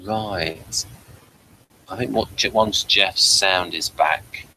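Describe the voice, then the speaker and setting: A man with a fairly low, bright and forward voice hosts a casual review chat. He speaks hesitantly, stopping and starting and doubling back on his words as he goes. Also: slight background noise, low-quality recording. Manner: hesitant, halting, repeats words. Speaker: host of a review show.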